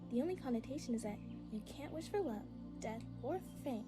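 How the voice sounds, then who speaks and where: A woman's voice: a little high, animated, brisk, lightly acted. A woman playing a solemn little girl from a powerful otherworldly race, speaking formally and earnestly in a storybook tale. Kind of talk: storybook character dialogue. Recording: music bed under the voice.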